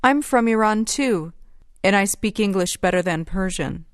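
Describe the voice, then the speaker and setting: A woman's voice: medium pitch, expressive, normal speed, no room sound, studio quality, natural voice. A woman reading a friendly textbook speaker. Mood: plain friendly. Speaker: dialogue reader in an English lesson. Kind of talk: slow scripted textbook dialogue.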